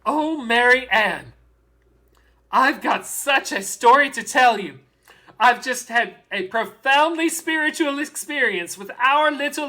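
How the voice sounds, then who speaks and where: Woman acting a doting parent, bright forceful voice. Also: a little high, heavily acted. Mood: excited. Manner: animated storytelling, dramatic pauses, drawn-out emphasis. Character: doting, excitable parent of a small girl, called Daddy. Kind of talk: theatrical dramatic monologue.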